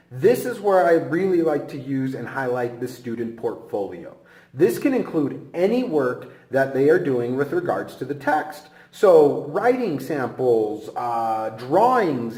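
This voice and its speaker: High-voiced, animated male teacher in echoey room. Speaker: teacher giving a training lesson. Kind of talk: instructional presentation.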